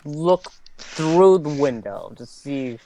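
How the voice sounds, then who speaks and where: A man's voice: fairly high pitch, lively intonation, slow pace, crowd noise, low-quality recording. A man playing a tabletop role-playing game, musing hesitantly as a player.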